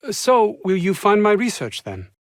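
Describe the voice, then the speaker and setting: An actor playing a haughty, anxious, downtrodden scientist in a video game, husky and speaking in formal, wordy, theatrical phrases. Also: male voice, sits high, wildly swooping pitch, unhurried, dry recording, clean studio sound, heavily acted. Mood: distressed, weary. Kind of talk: video game character dialogue.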